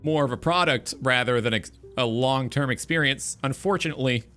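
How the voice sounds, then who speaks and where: Guy in his 20s hosting a talk stream, bright voice, kinda philosophical and earnest, light music behind him. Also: mid-range voice, a little fast. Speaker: talk show host, game streamer. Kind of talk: reflective talk show monologue.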